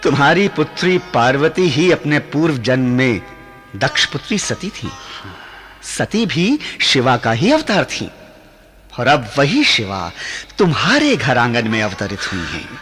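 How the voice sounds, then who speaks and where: Wise holy sage in a myth radio play, slow and very expressive, faint music behind. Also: male voice, medium pitch. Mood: reassuring. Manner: solemn, formal, unhurried pronouncements. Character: wise, assured divine sage counselling a mountain king. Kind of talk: Hindi mythological radio play acting.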